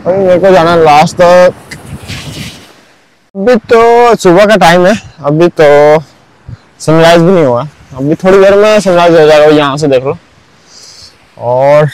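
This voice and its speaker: A man's voice: a little high, unhurried, pauses often, darkish tone, clear, resonant tone, dry recording, rough recording. A young man narrating a casual walking tour of a beach at sunrise, chatty, with lots of filler words. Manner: chatty, keeps saying "bhai" and "matlab". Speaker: vlogger.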